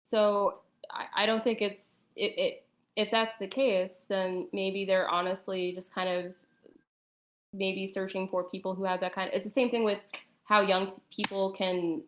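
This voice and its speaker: A woman chatting casually, thinking out loud in a halting, tentative way. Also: medium pitch, flat delivery. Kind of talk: casual chat, speculation.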